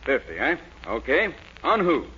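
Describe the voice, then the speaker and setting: An actor playing a brisk, wisecracking small-time bookie in a 1940s radio comedy, slangy and clipped. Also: male voice, medium pitch, huge pitch swings, bright, forceful tone, heavily acted. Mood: businesslike. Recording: muffled old radio recording with noise.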